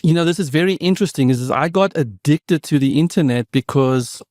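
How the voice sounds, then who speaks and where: A man's voice: on the high side, fairly quick, a little mellow, dry recording, clean studio sound. A man in his fifties from South Africa, with Afrikaans as his first language and a South African accent, telling stories as a guest on a podcast in a reflective, meandering way with many asides. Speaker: podcast guest, tech founder. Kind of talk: conversational interview storytelling.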